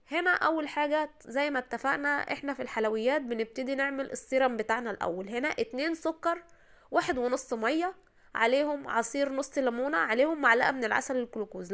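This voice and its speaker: Fast-talking Egyptian woman with a cold. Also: high voice, a bit bright. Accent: Egyptian Arabic. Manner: chatty, reassuring, addresses the viewer directly. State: tired voice from a cold. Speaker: home cooking video host. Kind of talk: casual step-by-step cooking tutorial.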